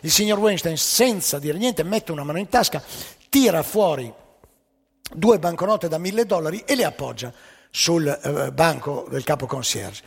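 A man telling a comic story on stage, animated and wry. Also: a little high, normal speed, vocal fry, husky. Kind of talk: theatrical joke telling. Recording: live hall with long reverb.

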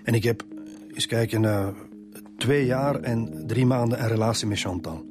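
A man's voice: medium pitch, moderate pace, lightly acted. Actor as defensive Flemish man, fifties, over music. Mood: explaining, defensive. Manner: hesitant, self-justifying. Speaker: actor in a radio crime drama. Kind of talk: naturalistic radio drama dialogue.

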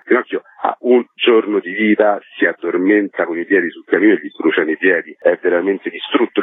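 A man with a husky voice, talking informally and bluntly as a guest in a radio interview. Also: deep voice. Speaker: theatre playwright being interviewed. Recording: muffled phone-like line, roomy echo.

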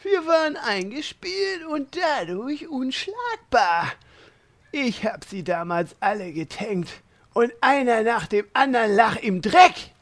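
An aged, retired warrior in a comic audio drama. The voice is extremely high and strained, and it drags slowly as he grumbles suspiciously about everyone and harks back to his glory days. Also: sing-song, exaggerated voice. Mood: grumbling, nostalgic. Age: older. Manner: grumbling, loud complaining. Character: cranky old retired warrior uncle, suspicious and nostalgic. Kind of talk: parody audio drama acting.

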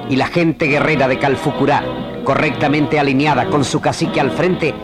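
Narrator, man, Argentine accent: grave, poetic declamation for radio drama, medium register, musical bed. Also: mid-range voice. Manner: solemn, literary, declamatory.

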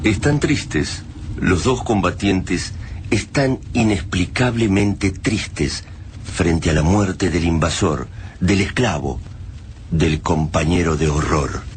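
A man narrating a science-fiction war tale for a radio drama in a solemn, measured, dramatic way. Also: sits low, a little hiss or hum. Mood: grave.

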